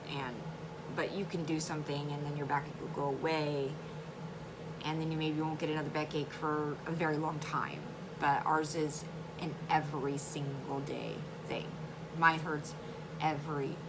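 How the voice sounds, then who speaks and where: A woman vlogger talking casually and earnestly, her voice slightly hoarse, often repeating words and laughing softly. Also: low voice, little pitch movement, normal speed, very noisy. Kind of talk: casual educational vlog.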